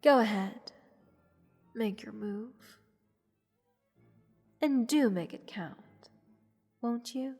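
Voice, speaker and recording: A woman playing a haughty, bored goddess in a roleplay audio drama, condescending and teasing in a languid, breathy delivery. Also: a little high, very slow, pauses often, a little mellow, full character voice. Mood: smug, teasing. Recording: long reverb, music underneath. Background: orchestral music.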